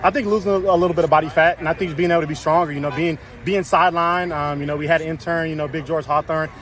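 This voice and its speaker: A young man, a college offensive lineman with a loud, carrying voice, answering interview questions outdoors after practice in a rapid, repetitive way full of fillers. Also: a little high, fairly even intonation. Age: young adult. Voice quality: loud voice that carries. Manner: rapid, filler-heavy, repeats words. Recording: outdoor media scrum with crowd chatter.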